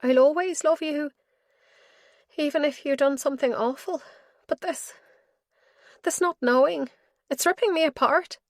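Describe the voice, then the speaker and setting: A woman's voice: sits high, a little slow, pauses often, no echo, clean studio sound, voice pushed up. A woman voicing a desperate, wounded adult daughter in an audiobook, pleading with emotion. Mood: anguished pleading. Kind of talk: audiobook character dialogue.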